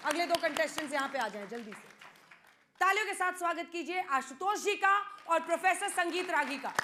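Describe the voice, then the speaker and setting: A woman with an Indian accent hosting a live political debate, brisk and directing the speakers. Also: extremely high pitch, lively intonation, a crowd in the background, noisy background. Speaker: TV debate moderator. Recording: music behind the voice. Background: music.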